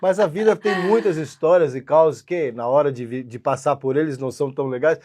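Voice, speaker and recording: A man's voice: fairly high pitch, fairly quick, a little mellow. A man hosting a casual talk show in a folksy, chatty way. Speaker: host.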